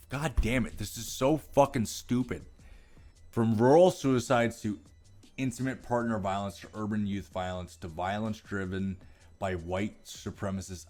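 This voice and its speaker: Low-voiced American man, profane and exasperated. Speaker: political commentary vlogger. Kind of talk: reading aloud with casual, ranting commentary.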